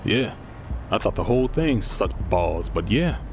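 A man's voice: fairly low pitch, very animated, unhurried, a little hiss or hum. An actor playing a blunt, sardonic, down-on-his-luck man who wants revenge, in an audio drama. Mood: rueful, determined. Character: Eddie, crude, down-on-his-luck jobless man seeking revenge. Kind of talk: naturalistic audio drama acting.

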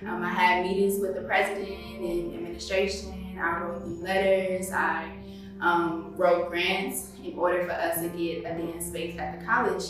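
A woman with a lowish voice tells her story as a dance professor. She is recorded in a very echoey space, away from the mic. Music plays clearly under her words. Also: level tone, normal speed. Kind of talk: personal testimonial. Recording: very echoey, distant microphone.

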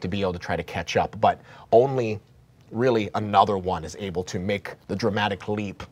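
A man's voice: on the low side, brisk, darkish tone, no echo. A man in his thirties running a tabletop horror game as game master, with vivid descriptive narration full of restarts. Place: studio set with a game table.

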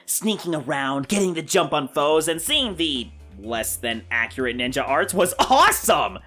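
A man's voice: on the high side, big pitch swings, normal speed, pushed and bright, faint music behind, exaggerated voice. A man voicing a nerdy, enthusiastic know-it-all in a fan cartoon, precise and wordy.

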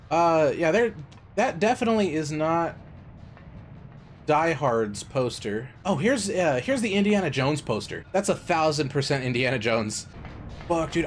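A young man live-streaming a horror game on a headset mic, joking and cursing, with loud excited reactions. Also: on the high side. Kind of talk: casual live-stream commentary.

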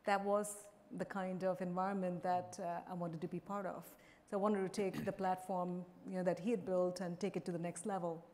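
A middle-aged woman from India speaking on a conference panel through a lapel mic, measured and full of fillers. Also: fairly low pitch, little pitch movement, warm tone. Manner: measured, with frequent fillers and restarts. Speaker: pharma executive panelist. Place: large conference stage. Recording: long hall reverb.

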